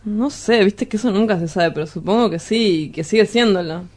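A woman's voice: mid-range voice, normal speed, darkish tone, natural voice. A woman playing a pretentious, boastful, evasive lover in a radio drama, with an Argentine Rioplatense accent and a hesitant, wordy, colloquial delivery. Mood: smug, confiding. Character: sleazy, pretentious, self-styled philosopher lover, evasive and boastful.

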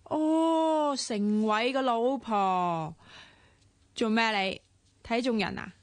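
A loud, rough-mannered young woman with a Hong Kong Cantonese accent, who mocks and needles without letup. Her voice sits fairly high. The studio sound is dry and close. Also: takes it slowly, no room sound. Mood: mocking. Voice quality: loud, brusque, teasing. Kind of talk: broadcast radio drama acting.

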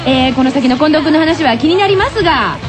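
A woman's voice: high-pitched, animated, fairly quick. A woman co-hosting a radio show, giving a playful introduction that is partly scripted. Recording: music behind the voice. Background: music.

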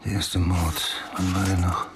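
An actor in a radio play voicing a driven, brooding male homicide detective who thinks aloud in clipped, breathy phrases. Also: deep voice, little pitch movement, a little slow, background noise. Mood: brooding, obsessive. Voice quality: husky, breathy, often whispering. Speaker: actor playing police detective. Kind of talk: radio drama monologue.

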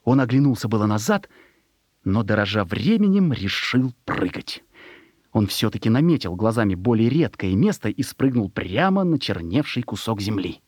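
Husky male storybook narrator. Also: lowish voice, very animated, moderate pace, darkish tone, no room sound, clean studio sound.

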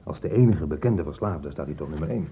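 An actor playing a dry, worldly police inspector in a Dutch radio play, measured and wry. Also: male voice, a bass voice, animated, a little hiss or hum. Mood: wry, assured. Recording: muffled, dull old radio recording.

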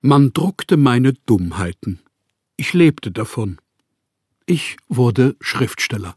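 An actor playing a proud, bitter, needy young writer in a German radio play, speaking in measured, formal literary phrases. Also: male voice, mid-range voice, sing-song, darkish tone, slight background noise. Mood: wry.

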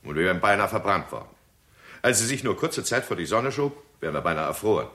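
A persuasive, grave man of science in a sixties radio play. His lowish voice moves with lively inflection as he reasons emphatically and deliberately. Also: exaggerated voice. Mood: earnest, persuasive. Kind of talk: 1960s German radio play acting.

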